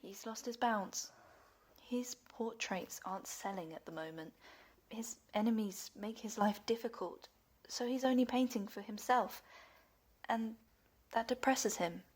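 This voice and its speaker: An actress in a radio play voicing a painter's devoted young daughter, gentle and placating. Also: female voice, a little high, unhurried, breathy edge. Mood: explaining, concerned.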